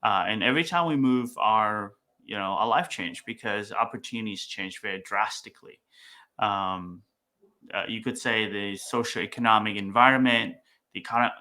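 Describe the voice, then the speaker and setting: A man's voice: on the low side, pushed and bright. A young man from Vietnam, a startup founder talking casually as a podcast guest, with many fillers and restarts. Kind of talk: casual interview talk.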